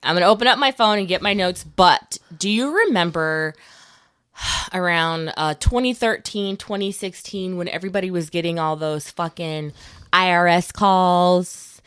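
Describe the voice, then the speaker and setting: A woman with a slightly low voice hosts a podcast in loose, chatty storytelling. She is informal and animated when quoting people, recorded close and dry. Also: moderate pace, clean tone, no echo. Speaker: podcast host. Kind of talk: casual conversational storytelling.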